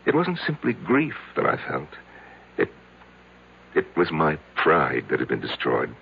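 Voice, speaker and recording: An actor in a radio drama playing a lonely, self-loathing middle-aged man who confesses haltingly to his psychiatrist. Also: extremely low pitch, huge pitch swings, vocal fry, slight background noise, full character voice. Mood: anguished. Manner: halting, hesitant, repeating himself. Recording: tinny, band-limited old radio broadcast.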